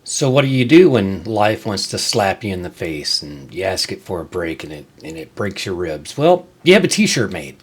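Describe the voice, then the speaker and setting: A man hosting his own creator show, talking to viewers in a casual, conversational way with frequent halting pauses. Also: deep voice, sing-song, breathy edge. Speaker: host of a creator video show, musician. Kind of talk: casual scripted-and-ad-libbed vlog to viewers.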